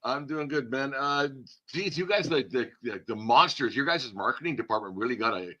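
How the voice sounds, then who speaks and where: A man's voice: medium pitch, lively intonation, normal speed, sharp tone, highs cut off. A man hosting a casual sports interview in a folksy, rambling way, repeating words as he thinks. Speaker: podcast host, interviewer.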